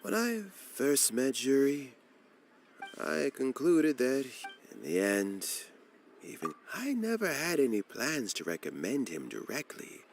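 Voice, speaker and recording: A man's voice: medium pitch, huge pitch swings, slow pace, creaky, a little hiss or hum, heavily acted. A man playing an elderly statesman in a video game, weary, humble and frank, with formal, courteous speech. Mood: reflective, frank. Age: older. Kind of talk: video game character voice acting. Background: music.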